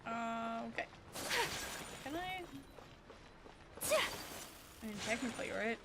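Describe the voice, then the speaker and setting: A woman's voice: on the high side, expressive, slow, very noisy. A woman game streamer giving casual, playful live commentary with silly little asides. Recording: game music behind the voice. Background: video game music.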